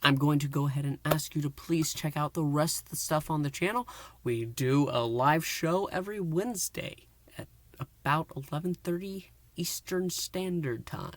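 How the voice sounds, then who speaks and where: A man's voice: on the high side, fairly bright tone, no room sound. A man giving a casual solo review and recap, rambling with repeated fillers and restarts. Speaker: podcast reviewer.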